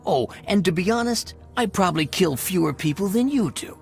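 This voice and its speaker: A cool, menacing adversary in a video game who talks down to his foe. His voice is gravelly and sits a little high, with faint music underneath. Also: animated, fairly quick.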